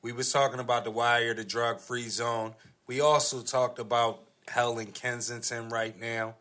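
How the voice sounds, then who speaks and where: A man's voice: mid-range voice, flat delivery, normal speed, fairly bright tone. A man hosting a casual podcast chat, slangy and repeating phrases for emphasis. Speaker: podcast host.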